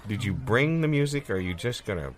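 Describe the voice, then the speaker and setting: A man playing a stern, petty schoolteacher in an improvised comedy sketch, gruff and pedantic. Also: fairly low pitch, big pitch swings, quick pace. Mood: grudging, pedantic. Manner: gruff, pedantic, mock-solemn. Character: stern, petty male schoolteacher obsessed with Potato Day.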